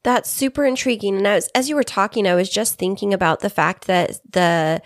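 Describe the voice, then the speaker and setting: A woman's voice: medium pitch, fairly quick, dry recording. Female podcast co-host, American, middle register, conversational interviewer, dry studio-style sound. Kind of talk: casual chat.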